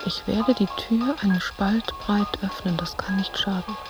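An actress playing an intense, uneasy woman in a literary radio play, narrating her memories and fears in terse, clipped phrases with a creaky voice. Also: mid-range voice, steady tone, a little slow, music in the background, natural voice. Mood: tense, watchful. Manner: terse, clipped phrases, at times near whispering.

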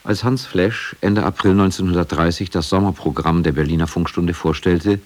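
A man with a low voice narrates a radio documentary. His delivery is scripted, sober and measured, setting out facts plainly. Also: fairly even intonation.

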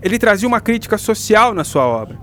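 High-pitched Brazilian male schoolteacher, didactic. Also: sing-song, quick pace, a bit bright, slight background noise. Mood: explaining. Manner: didactic, explanatory. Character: patient, enthusiastic male school teacher lecturing his class. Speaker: teacher. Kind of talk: radio drama, classroom lecture.

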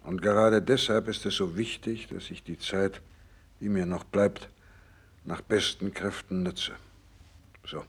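An actor playing a gravely ill but resolute doctor in a 1960s German radio play, speaking in an earnest, measured, insistent way. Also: male voice, deep voice, fairly even intonation, full character voice.

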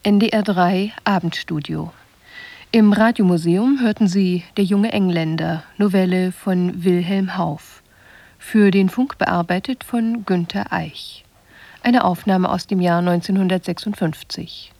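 A woman's voice: on the low side, a little slow, darkish tone, dry recording. A woman reading a scripted programme announcement as a radio announcer.

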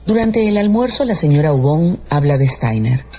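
A man narrating a literary radio drama in a measured, theatrical storytelling style. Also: a little high, sing-song, slight background noise. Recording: muffled radio production with loud music.

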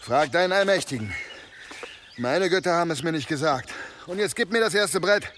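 An actor playing a weary, gruff former berserker warrior in a German audio drama, terse and grimly resigned. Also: male voice, fairly high pitch, lively intonation, moderate pace, fairly bright tone, slight background noise. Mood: blunt challenge.

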